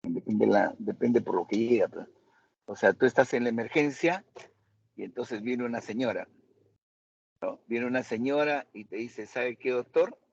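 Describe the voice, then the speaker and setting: Gravelly, husky male doctor lecturing. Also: mid-range voice, normal speed, a little mellow, no room sound, muffled recording. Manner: questioning, quizzes students. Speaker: doctor teaching a seminar. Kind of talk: interactive medical lecture.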